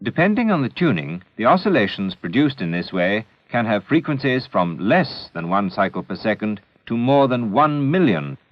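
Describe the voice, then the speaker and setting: A man narrating a scripted educational film in a measured, deliberate, didactic manner, on an old 16mm film soundtrack. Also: on the low side, very animated, dry recording, dull audio.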